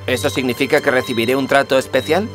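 A man's voice: medium pitch, steady tone, fast, a bit bright, soft background music, natural voice. A man voicing a good-natured, modest, overlooked young prince in a cartoon fairy tale, earnest and a little cheeky. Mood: friendly.

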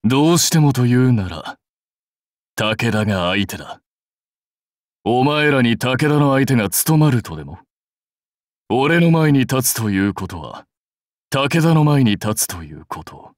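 Proud, brusque samurai warlord. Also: male voice, mid-range voice, very animated, a little slow, pauses often, no echo, clean studio sound. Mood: menacing, commanding. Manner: curt, commanding, self-assured. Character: proud, brusque warlord rider devoted to his clan. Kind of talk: Japanese mobile game character lines.

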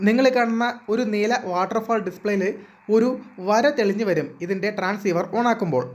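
A man's voice: sits high, brisk. Man narrating an electronics tutorial.

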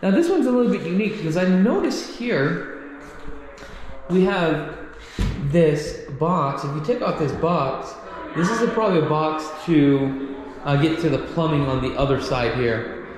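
A man's voice: a little high, lively intonation, unhurried. Man touring property, very echoey rooms. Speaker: real estate agent giving a property tour. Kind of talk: casual walkthrough commentary. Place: empty condo rooms and outdoor walkway. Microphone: handheld action camera. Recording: echoey empty rooms, some background noise.

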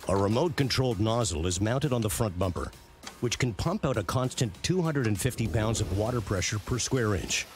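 A man reading scripted narration for a documentary series in a measured, punchy way. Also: fairly low pitch, animated. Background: prominent background music.

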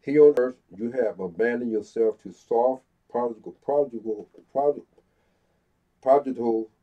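A middle-aged man with a stuffy nose, slowly reading scripture aloud as a Bible teacher, pausing between phrases. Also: medium pitch. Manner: slow, deliberate reading with pauses, stuffy-nosed. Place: small room before a brick wall. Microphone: fixed camera, head and shoulders. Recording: dull lo-fi sound.

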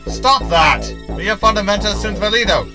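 An actor playing a haughty, homicidal hologram in a sci-fi comedy audio drama, breaking theatrically into Latin. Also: male voice, high voice, expressive, normal speed. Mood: menacing, haughty. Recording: loud music under voice.

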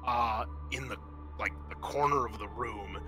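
A man with a bright, forceful voice runs a tabletop roleplaying session. He explains and narrates casually as game master, with some swearing. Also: medium pitch, unhurried, soft background music.

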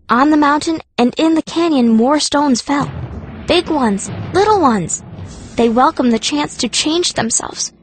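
A woman's voice: high voice, slight background noise. A woman narrating a fantasy audiobook in the first person, as a young girl mage telling her story.

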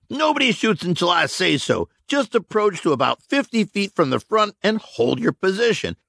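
A man voicing a gruff male militia leader who barks urgent orders. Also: high-pitched, sharp tone, dry recording, voice pushed up, heavily acted.

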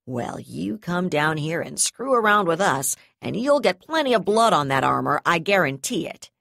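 A woman reading the lines of a ruthless, foul-mouthed female brigadier in an audiobook, snarling and sneering loudly. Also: lowish voice, expressive, moderate pace, no room sound, clean studio sound. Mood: snarling threats. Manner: snarling, sneering, loud threats.

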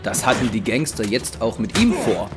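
A man's voice: mid-range voice, sing-song, moderate pace. A man reading scripted narration for an audio drama. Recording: loud music under the voice.

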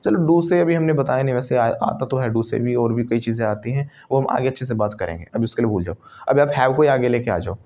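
A man's voice: medium pitch, a little fast, dark tone, muffled recording. A man with an Indian accent teaching a spoken English class, prompting students to repeat phrases. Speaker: English trainer.

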